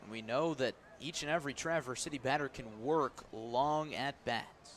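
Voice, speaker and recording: A man's voice: normal speed, fairly bright tone. Man calling baseball play-by-play over outdoor noise. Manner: descriptive, lifts and stresses as each pitch comes. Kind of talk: live radio sports commentary. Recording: clear outdoor ambient noise behind voice. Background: outdoor ballpark ambience.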